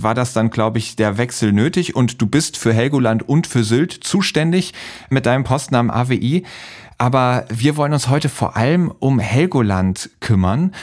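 A man's voice: mid-range voice, darkish tone. A man hosting a podcast interview in a conversational, attentive way.

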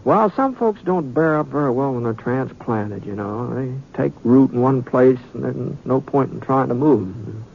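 A man's voice: mid-range voice, animated, normal speed, vocal fry, few pauses, slight background noise. A man acting an easygoing American gunslinger in a 1950s radio western, speaking in a hesitant, folksy way and repeating himself. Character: easygoing western drifter gunslinger telling his tale. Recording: muffled 1950s radio recording, music.

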